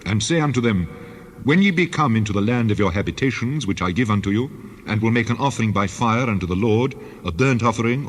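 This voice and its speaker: An actor playing God in a dramatized scripture reading, solemn, formal and commanding. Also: male voice. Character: God speaking law and judgement, solemn and commanding. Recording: long booming reverb.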